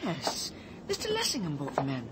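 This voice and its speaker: British woman, village housekeeper, lively intonation, crisp, tart, precise, radio mystery drama, faint ambient noise. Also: sits low, moderate pace. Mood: matter-of-fact. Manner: crisp, dryly indignant, precise. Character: composed, tart village housekeeper woman fending off police questioning.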